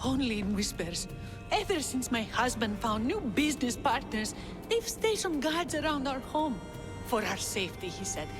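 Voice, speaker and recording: A woman's voice: fairly high pitch, big pitch swings, moderate pace. A woman playing an indignant, frightened mother held captive in ancient Greece, in a dramatic game cutscene. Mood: indignant, wary. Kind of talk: video game cutscene acting. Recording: echoing hall reverb under loud music.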